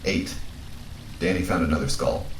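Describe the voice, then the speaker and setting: A man playing a calm, frank companion in an audio drama, measured and matter-of-fact, in an echoey space. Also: low-pitched, lightly acted. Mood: blunt, insistent. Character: calm, frank male companion who reasons and reassures. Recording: echoey room with faint background noise.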